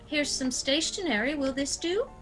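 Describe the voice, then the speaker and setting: A woman's voice: high voice, expressive, moderate pace, a bit bright, faint music behind. A woman playing a sweet but easily indignant elderly aunt in a radio comedy. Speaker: radio play actor. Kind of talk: theatrical radio comedy acting.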